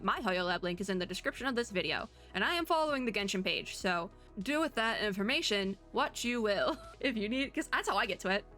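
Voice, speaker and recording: Bright, giggly woman reacting for viewers. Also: a little high. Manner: giggly, excitable, rambling. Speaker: gaming vlogger reacting to game trailers. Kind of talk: casual reaction commentary to viewers.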